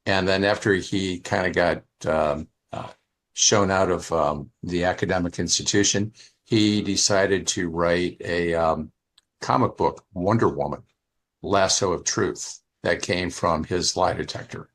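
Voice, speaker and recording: A man's voice: low voice, steady tone, unhurried, a bit bright. A man making casual remarks and asking questions on an online group call, often restarting his sentences. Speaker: participant asking questions and commenting. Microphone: online call, off camera.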